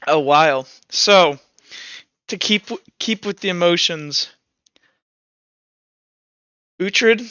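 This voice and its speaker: Bright, higher-voiced man running a game. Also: smooth, dry recording, lo-fi sound. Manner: halting, informal delivery with many "like"s. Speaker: game master. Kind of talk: improvised tabletop roleplay narration; casual chat with players.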